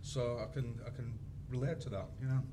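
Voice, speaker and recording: A man's voice: medium pitch, steady tone, fairly quick, background noise. A man moderating a casual panel discussion, speaking in an informal, joking manner. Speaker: panel moderator and crime writer.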